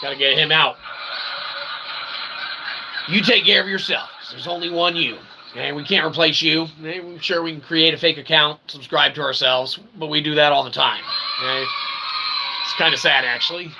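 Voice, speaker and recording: A man's voice: fairly high pitch, steady tone, normal speed, gravelly, noisy background. A man delivering a tongue-in-cheek, rambling philosophical monologue as a channel host, in wordy, convoluted phrasing with frequent check-ins.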